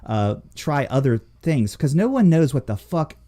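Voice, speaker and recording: Mellow-voiced, blunt man hosting a chat. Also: mid-range voice, very animated, normal speed. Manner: blunt, rambling. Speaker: podcast host. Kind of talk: casual chat, profanity-laden.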